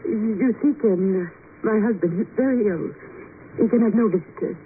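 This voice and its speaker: An actress playing a guarded, gracious young wife, speaking formally and earnestly in 1950s radio drama style. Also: female voice, medium pitch, moderate pace, lightly acted. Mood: earnest, evasive. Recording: tinny, hissy 1950s radio recording.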